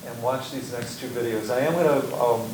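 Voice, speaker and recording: An older man introducing videos at a meeting, halting with many fillers. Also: darkish tone. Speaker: event presenter. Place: meeting room. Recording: echoey room, far from mic, rumble.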